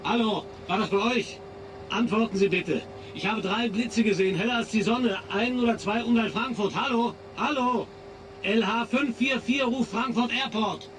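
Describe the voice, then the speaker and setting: An alarmed pilot in a radio drama, his voice pitched very high. He repeats his calls urgently through a radio transmission with clear noise around him. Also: normal speed, fairly bright tone. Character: alarmed airliner pilot calling air control by radio. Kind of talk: German radio drama acting. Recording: noisy aircraft radio transmission.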